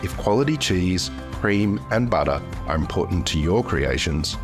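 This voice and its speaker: A man reading a scripted sponsor advertisement in a measured, smooth delivery. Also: deep voice, lively intonation. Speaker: ad voice-over. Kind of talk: scripted ad read. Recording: clear guitar music bed.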